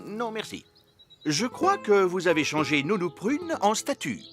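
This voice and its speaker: A man's voice: sits high, big pitch swings, measured pace, over music. A man voicing a courteous, tactful fairy king in a cartoon, with a politely grand manner. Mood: courteous, flattering. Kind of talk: animated series dub acting.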